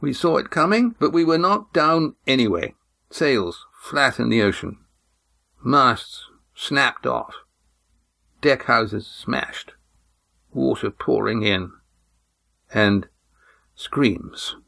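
A man voicing a tired, testy old woman ship commander who speaks bluntly and flatly. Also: mid-range voice, takes it slowly, gravelly, pauses often, pitched above the performer's own voice, heavily acted. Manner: testy, flat and factual.

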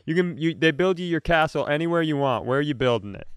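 A man's voice: a little high, animated, fast talker, clear, resonant tone, no echo, clean studio sound. A man co-hosting a comedy podcast, chatting casually and rambling enthusiastically through comic ideas.

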